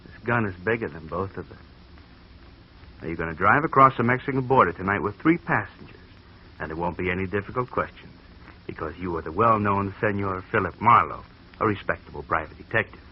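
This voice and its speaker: A man playing a smug, sly gunman who threatens in a smooth voice in an old radio drama. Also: sits low, a little fast. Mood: menacing. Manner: smooth and threatening, calls people laddie. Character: menacing gunman with slick orange hair, sly, smug. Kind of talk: 1940s radio acting. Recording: muffled old radio recording with hiss.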